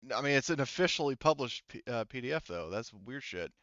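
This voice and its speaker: A man running a tabletop game as game master, casually improvising narration in a halting, sweary way as he thinks aloud into a headset. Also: mid-range voice, animated, fairly bright tone, no echo, muffled recording. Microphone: headset mic.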